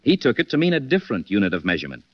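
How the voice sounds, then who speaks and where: An actor playing a confident, polished gentleman detective who hosts a 1940s radio mystery. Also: fairly low pitch, huge pitch swings, slightly rough, no room sound, full character voice. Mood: confident deduction. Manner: polished, deliberate. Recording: dull vintage 1940s radio recording.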